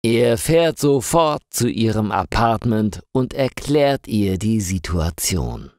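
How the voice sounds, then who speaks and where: A man narrating a scripted crime story for language learners in a slow, clearly articulated reading with lots of rise and fall. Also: fairly low pitch, big pitch swings, darkish tone, no room sound, clean studio sound.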